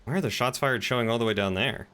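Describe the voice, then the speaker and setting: A man's voice: medium pitch, fast talker, pushed and bright. A man streaming a game and talking casually with his fellow players. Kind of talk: casual gaming stream chat.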